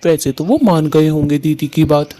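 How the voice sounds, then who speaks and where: Woman as a mellow, earnest young groom bird. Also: sits low, fairly even intonation, moderate pace, voice pushed down, natural voice. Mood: devoted. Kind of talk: cartoon story voice acting.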